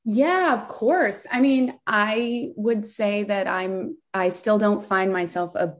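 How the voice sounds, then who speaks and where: A woman's voice: mid-range voice, slow pace. A woman from Southern California, a beauty store founder, telling her story in a chatty, rambling way with many fillers and restarts. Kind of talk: casual interview storytelling.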